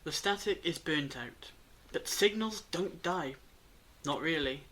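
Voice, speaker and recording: An actor as a knowing, cryptic investigator in an audio drama. The voice is medium in pitch and measured, explaining carefully. There is faint noise in the recording. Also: expressive, fairly bright tone. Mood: reflective.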